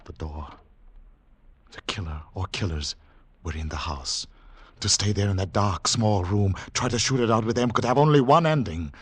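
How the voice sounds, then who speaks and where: An actor playing a haunted secret agent on the run, narrating in dramatic, deliberate, clipped phrases in 1940s radio thriller style. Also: male voice, fairly low pitch, breathy edge. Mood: hushed suspense. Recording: noisy 1940s radio recording with clicks.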